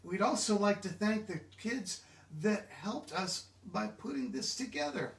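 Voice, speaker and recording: A man giving an animated, expressive children's storybook read-aloud, engaging his listeners directly. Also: high-pitched, a little slow, creaky. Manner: expressive, interactive, asks listeners questions. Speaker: children's book reader. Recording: echoey room, distant mic.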